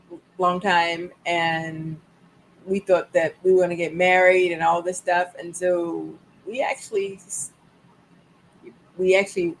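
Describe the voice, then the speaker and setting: A woman's voice: lowish voice, a little hiss or hum, poor audio. A woman of sixty hosting a wellness live stream, repeating her points and chatting to viewers with bursts of laughter. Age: 60s. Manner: animated, repeats phrases, laughs, talks to viewers. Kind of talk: casual live-stream talk. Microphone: live-stream setup.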